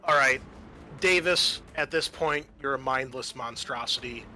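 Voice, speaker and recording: Bright-voiced man running a tabletop game. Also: medium pitch, a little slow. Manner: casual, addresses players directly. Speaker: game master. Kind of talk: improvised tabletop game narration. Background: background music.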